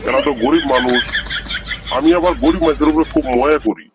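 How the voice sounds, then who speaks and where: A man's voice: a little high, fast talker, close to an everyday voice. A man playing a villager in an amateur comedy skit, speaking a colloquial rural Bengali dialect in a rustic manner. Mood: explaining. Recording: noisy amateur recording with clicks.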